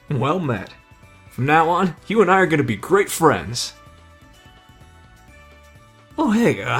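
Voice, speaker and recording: Man playing a lively, husky, easygoing teenage tough guy. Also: fairly high pitch, exaggerated voice. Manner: casual, slangy, addresses listener as buddy. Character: easygoing, friendly but tough teenage delinquent hero. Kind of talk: anime fan ASMR roleplay.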